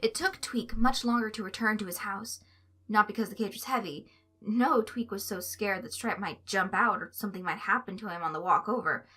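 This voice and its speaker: A woman narrating a story aloud in an expressive, scripted reading style. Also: mid-range voice, a little fast, husky, soft background music. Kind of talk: expressive fan fiction reading.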